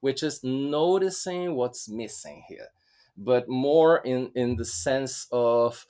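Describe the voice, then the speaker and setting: A man, co-hosting a podcast. He explains ideas patiently, pausing, restarting his sentences and leaning on fillers as he works out his thoughts. His voice sits at a middling pitch. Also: lively intonation, warm tone, clear, resonant tone. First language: Portuguese. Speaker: podcast co-host, Enneagram teacher. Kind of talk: conversational teaching talk.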